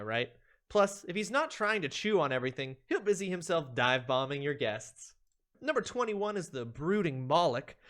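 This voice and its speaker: Animated man dramatically reading a ranking. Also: fairly high pitch. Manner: theatrical, emphatic delivery. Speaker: streamer, video host.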